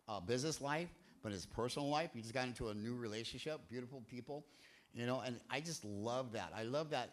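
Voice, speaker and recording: A man hosting a recovery meeting from a church stage, talking to the crowd in a loose, rambling, jokey way. He swings between quiet, low asides and loud, rousing calls. His delivery leans toward a sermon. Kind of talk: energetic sermon-like talk to a crowd. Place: church hall, speaking from a stage.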